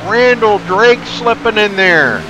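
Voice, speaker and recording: Stuffed-up, excitable race commentator man. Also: high-pitched, slow, muffled recording, noisy background. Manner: excitable, folksy play-by-play. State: stuffed up from allergies. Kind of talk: live race play-by-play commentary.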